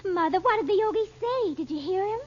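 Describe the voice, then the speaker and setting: A woman's voice: very high-pitched, animated, a little fast, a little hiss or hum. An actress playing an anxious teenage girl who pleads in an old radio drama. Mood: curious. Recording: dull old radio recording.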